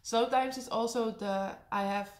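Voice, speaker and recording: A young Dutch woman with a high voice and a Dutch accent, chatting casually through a Q&A, laughing often and slipping in sarcastic asides. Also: steady tone, normal speed, a little mellow. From Netherlands. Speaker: vlogger. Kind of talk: casual Q&A to camera. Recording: echoey room.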